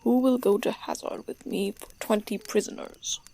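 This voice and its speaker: Young woman as creaky-voiced young French lord. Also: medium pitch, steady tone, moderate pace, dark tone, lightly acted. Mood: curious, eager. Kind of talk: radio theater Shakespeare acting.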